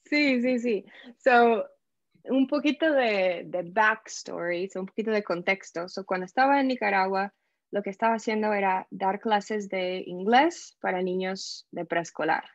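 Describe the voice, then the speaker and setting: A woman from the United States with an American English accent, a podcast guest telling her story in a lively way and slipping English words into her Spanish. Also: mid-range voice, unhurried, no room sound, muffled recording. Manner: animated, acts out quoted voices, mixes English words into Spanish. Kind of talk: casual interview storytelling.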